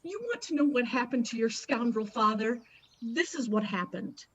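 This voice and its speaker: A woman's voice: fairly high pitch, expressive, normal speed, slightly rough, highs cut off. A woman playing a stubborn, superstitious, scornful wife and mother in a radio play, emphatic and accusing. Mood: bitter. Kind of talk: dramatic radio play acting.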